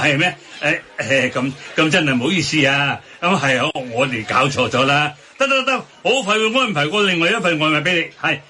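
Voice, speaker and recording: A man's voice: a little high, sharp tone, a little hiss or hum. A man acting a hot-tempered tea restaurant boss in a comedic radio drama, blustering in Hong Kong Cantonese. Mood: apologetic. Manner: blustering, exclamatory.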